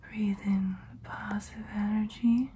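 A young woman voicing a soothing, nurturing vampire in a breathy ASMR whisper, gentle and reassuring. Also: medium pitch, level tone, unhurried, slight background noise, close to an everyday voice. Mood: calm, guiding. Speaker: ASMR roleplay host. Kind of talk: whispered ASMR personal attention roleplay.

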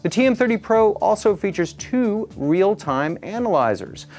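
A man's voice: sits high, big pitch swings, moderate pace, a little mellow. A middle-aged man reading a scripted product review as the presenter. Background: background music.

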